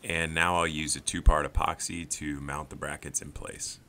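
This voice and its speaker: A man's voice: a bass voice, little pitch movement, moderate pace, creaky, sharp tone, a little hiss or hum. A man in his thirties narrating his woodworking build in a plain, step-by-step voice-over. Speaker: woodworking channel host.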